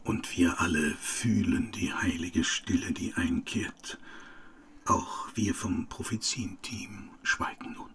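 Pompous husky live reporter. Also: male voice, low voice, slow, a bit bright, in a whisper, exaggerated voice. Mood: hushed reverence. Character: pompous, gushing male live television reporter. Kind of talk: parodic live event commentary.

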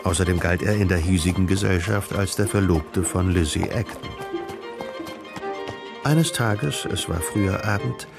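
A man narrating a radio drama in a measured, literary storytelling manner. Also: low voice, vocal fry, over music.

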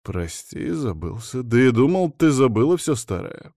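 A man's voice: medium pitch, big pitch swings, moderate pace, mellow, no echo, clean studio sound. A man voicing a brash young male servant of chaos, blunt and needling, in a fantasy story. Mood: backing down.

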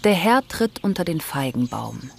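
A woman's voice: sits low, big pitch swings, moderate pace, gravelly, darkish tone, a little hiss or hum, full character voice. A woman acting as the narrator of a radio play, formal and matter-of-fact as she reports on her master. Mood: formal, factual.

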